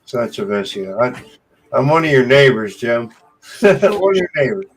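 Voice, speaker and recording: A man's voice: medium pitch, sing-song, moderate pace, a little hiss or hum. An American man in casual late-night chat on a video call, swearing freely and laughing often in a loose, joking way. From United States. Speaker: guest on a live video call.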